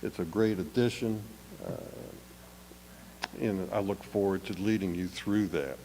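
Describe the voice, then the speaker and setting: Church elder, male, raspy head-cold voice, folksy, long reverb of a sanctuary, faint background hiss. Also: sits low, unhurried, dark tone. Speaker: church elder leading worship. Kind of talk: welcome and call to worship.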